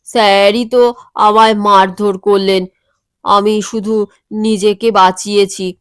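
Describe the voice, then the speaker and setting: A woman voicing a mischievous, unrepentant teenage boy in a comic audio story, deadpan and nonchalant. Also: medium pitch, slow, no room sound, clean studio sound, voice pushed down, close to an everyday voice. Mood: unbothered, self-justifying.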